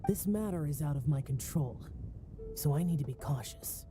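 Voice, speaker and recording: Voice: medium pitch, lively intonation, moderate pace, warm tone, close to an everyday voice. An actor voicing a solemn young male demon slayer in a video game, reserved and cautious, with music behind. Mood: wary, reluctant.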